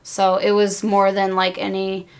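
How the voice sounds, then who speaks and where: A woman's voice: mid-range voice, completely flat delivery, a little slow, smooth. A woman co-hosting a podcast in casual, conversational talk. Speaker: podcast co-host. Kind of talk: casual chat.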